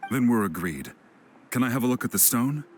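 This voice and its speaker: A man's voice: medium pitch, expressive, fairly quick, slight background noise, natural voice. A man playing a guarded, brooding veteran warrior and scout in a video game, reserved and prone to muttering. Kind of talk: English video game voice acting. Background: music.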